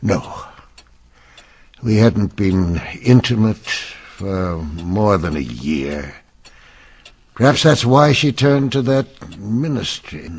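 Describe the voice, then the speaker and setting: A man acting a weary, grieving Victorian councilman in a radio drama, formal and halting, with a husky, gravelly voice. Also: medium pitch, exaggerated voice.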